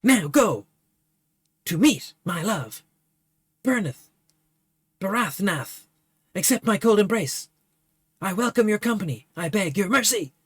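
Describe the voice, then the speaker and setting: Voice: mid-range voice, lively intonation, unhurried, long pauses, breathy edge, no echo, clean studio sound, pitched above the performer's own voice. A reader playing Burnett, a lovestruck swordsman in a stage tragedy, declaiming in bombastic, archaic speech. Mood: feverish. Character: grief-stricken lovestruck swordsman, theatrical.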